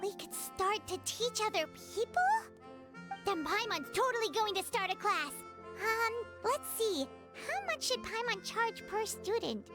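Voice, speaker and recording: An extremely high, squeaky little fairy chirps as an excitable, food-loving game companion. Her delivery swings animatedly up and down, exclaiming like a child, with music clearly playing behind her. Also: a little slow. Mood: cheeky. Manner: exclaiming, speaks of herself in third person. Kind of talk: video game character acting.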